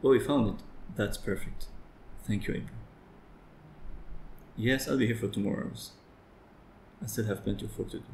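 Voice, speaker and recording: A man's voice: on the low side, moderate pace, pauses often, a little mellow. A man in his thirties doing an office ASMR roleplay, talking in a subdued, businesslike way. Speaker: ASMR performer playing an office worker. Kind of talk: ASMR office roleplay, phone talk and muttering over paperwork. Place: office with large windows over a street. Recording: roomy office echo, background noise.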